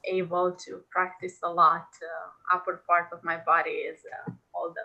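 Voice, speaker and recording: A woman from Romania joining an online class over a video call, answering in hesitant, halting replies. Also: fairly low pitch, little pitch movement, creaky, dull audio. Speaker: class participant. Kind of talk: casual chat.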